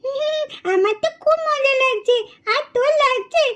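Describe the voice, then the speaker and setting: A woman's voice: very high-pitched, normal speed, a bit bright. A woman voicing a mischievous little boy who teases his sister. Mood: gloating. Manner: teasing, gloating. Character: naughty little boy Adi, teasing.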